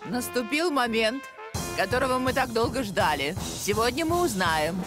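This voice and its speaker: A woman's voice: a little high, expressive, normal speed, close to an everyday voice. A woman playing an enthusiastic mother coaching her son in a cartoon, with a ceremonious, announcing manner. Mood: determined. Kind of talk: animated cartoon acting. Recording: music under the voice.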